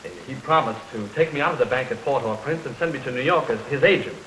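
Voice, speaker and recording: An actor playing an earnest, polite young bridegroom in an early 1930s film, trusting and clipped in speech. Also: male voice, mid-range voice, fast, fairly bright tone, lightly acted, low-quality recording. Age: young adult. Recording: hissy 1932 film soundtrack, rain behind.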